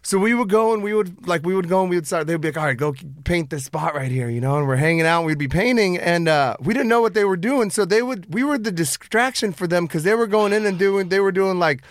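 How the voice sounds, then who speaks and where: A young man born in the former Soviet Union, with Russian as his first language, telling a story as a podcast guest in a relaxed, profane way full of "you know". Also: high-pitched, fast, few pauses, dry recording, studio quality. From Soviet Ukraine. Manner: laid-back, peppers speech with "you know" and profanity. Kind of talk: casual storytelling.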